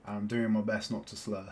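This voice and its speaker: A man's voice: fairly low pitch, dark tone, slight background noise. A man with a South London accent chatting casually as he plays a game, rambling and often laughing. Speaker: Minecraft let's-play host. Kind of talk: casual chat while playing.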